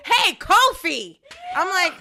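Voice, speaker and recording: A woman's voice: very high-pitched, sing-song, slow pace, vocal fry, pushed and bright, slight background noise. A woman in her thirties co-hosting a podcast, teasing her friend and laughing in casual chat. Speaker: podcast co-host.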